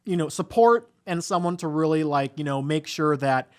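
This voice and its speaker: A man with a slightly high voice talks as a podcast co-host about movies. He is informal and a little halting, working out his phrasing as he goes. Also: clean tone. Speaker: podcast co-host and film reviewer. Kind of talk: casual movie discussion.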